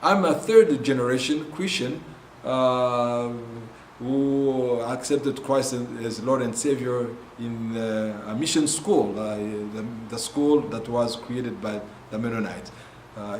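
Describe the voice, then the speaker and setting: A man from Congo with a Congolese accent, telling his ministry's story in a halting way with many pauses and fillers. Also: medium pitch, expressive, darkish tone, reverberant, a little hiss or hum, poor audio. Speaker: ministry founder. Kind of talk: earnest spoken testimony.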